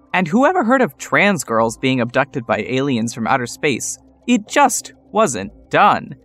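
A man's voice: fairly high pitch, a bit bright. Wry male storyteller over music. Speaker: narrator. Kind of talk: scripted dramatic story narration. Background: music score.

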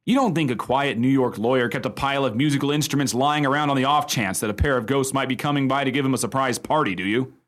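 An actor playing a wry storyteller in a radio play, telling a ghost yarn in a dry, emphatic way. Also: male voice, medium pitch, fast, a bit bright, lightly acted. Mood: relishing, emphatic.

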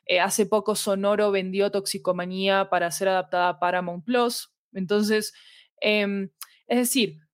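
A woman's voice: medium pitch, fairly even intonation, unhurried, no room sound, clean studio sound. A woman with a Latin American Spanish accent, talking reflectively and at length as a guest in a casual interview. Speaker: interview guest, audio fiction expert.

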